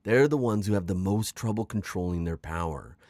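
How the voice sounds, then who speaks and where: A man's voice: sits low, animated, darkish tone, no room sound. A man playing a suave, manipulative magical villain mentor in an intimate ASMR roleplay, coaxing and teasing as he talks. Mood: soothing, coaxing. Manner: coaxing, smooth-talking, chuckling, drawn-out teasing phrasing. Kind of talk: ASMR roleplay acting, intimate one-sided dialogue.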